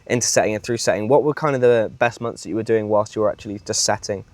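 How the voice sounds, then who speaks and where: A man hosting a casual interview, asking quick, upbeat questions in a villa. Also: fairly low pitch, no echo. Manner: upbeat, quick encouraging prompts. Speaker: host and sales mentor. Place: villa, indoors.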